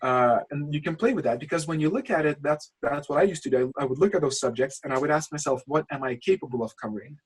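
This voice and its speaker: A man's voice: on the high side, fast, hardly any pauses, husky, rough recording. A man from Lithuania, not a native English speaker, coaching over a video call in a rambling, passionate way with many restarts. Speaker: channel coach. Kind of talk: casual screen-share coaching call.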